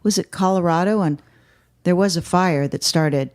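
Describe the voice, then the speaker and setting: A woman, a guest musician, chatting casually and telling lively anecdotes in which she acts out what people said. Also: on the low side, normal speed. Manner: lively anecdotes acted out in quotes. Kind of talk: casual interview chat.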